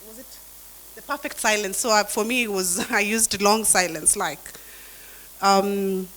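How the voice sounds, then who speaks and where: Woman translator on a video call, soft and hesitant, plenty of fillers. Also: mid-range voice, measured pace, low-quality recording. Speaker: workshop participant, translator. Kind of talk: casual discussion on a video call.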